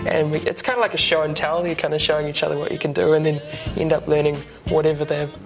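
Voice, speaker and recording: A man's voice: on the high side, fast, creaky, faint music behind. Laid-back young Kiwi man. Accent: New Zealand. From New Zealand. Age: 20s. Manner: relaxed, rambling, lots of ums and restarts. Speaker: musician guest. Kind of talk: casual interview chat. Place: TV studio couch.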